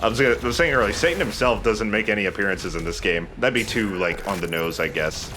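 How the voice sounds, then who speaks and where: A man's voice: fairly low pitch, quick pace, pushed and bright, slight background noise. A man hosting a let's play with casual, joking, irreverent game commentary over game sound. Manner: irreverent, profane.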